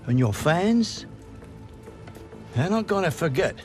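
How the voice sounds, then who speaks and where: A cautious, worried grandfather in a cinematic game scene. His older voice sits slightly high as he gives advice. Music plays clearly behind him. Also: sing-song, slow pace. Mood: concerned. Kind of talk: cinematic game cutscene acting.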